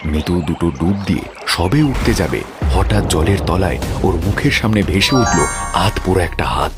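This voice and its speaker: A man's voice: deep voice, expressive, moderate pace, loud music behind the voice. A man narrating a scripted horror story.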